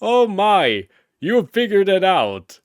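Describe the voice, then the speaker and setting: A jolly old panda brewmaster played by a man with a courtly, amused manner. The delivery swings up and down theatrically, slightly raised above a middle pitch, in a dry studio storybook sound. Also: sing-song, takes it slowly, dry recording, exaggerated voice.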